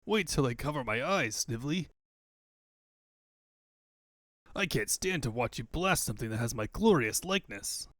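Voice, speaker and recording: A man's voice: medium pitch, expressive, a little fast, fairly bright tone, no echo, studio quality, natural voice. A man voicing a pompous, boastful mad scientist villain with theatrical menace in a comic book fandub. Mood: vain. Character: pompous, gloating evil male mad scientist tyrant.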